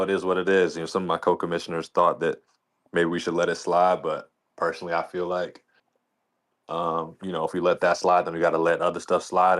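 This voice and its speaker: Very deep-voiced man chatting casually. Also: a bit bright. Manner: colloquial, discursive, frequent "you know". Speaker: league commissioner. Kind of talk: casual podcast chat.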